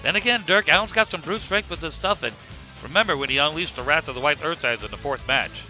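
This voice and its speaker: A man's voice: a little high, quick pace, pushed and bright, faint music behind, rough recording. A man playing a wrestling commentator, explaining the fighters in a cartoon show. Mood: informative.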